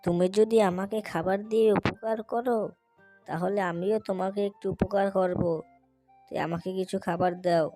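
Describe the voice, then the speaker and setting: A woman's voice: medium pitch, steady tone, unhurried, warm tone, soft background music, close to an everyday voice. A woman voicing a hungry, helpful talking crow in a children's cartoon, politely coaxing and bargaining.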